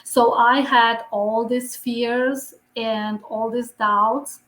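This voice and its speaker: A woman's voice: a little high, steady tone, very slow, fairly bright tone. A woman from Romania with a Romanian accent, speaking earnestly as a podcast guest; English is not her first language. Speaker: podcast guest, visibility expert. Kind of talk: podcast interview. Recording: echoey room.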